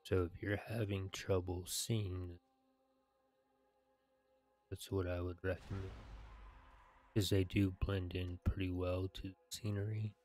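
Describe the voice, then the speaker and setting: A man in his thirties narrating a game, reading quest text aloud in a measured, deliberate way. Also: deep voice, flat delivery, a little mellow. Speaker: gaming video narrator. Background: video game music.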